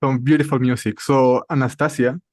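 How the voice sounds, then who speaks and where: A man's voice: medium pitch, steady tone, fairly quick, darkish tone. A man interviewing a band, giving short encouraging prompts and questions.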